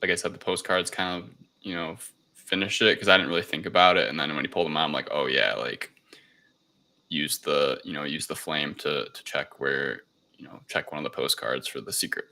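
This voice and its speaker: Deep, forceful guy on a podcast, reviewing stuff casually, lots of likes and you knows. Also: fairly quick, vocal fry. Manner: hesitant, repeating "like" and "you know". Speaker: podcast panelist reviewing films.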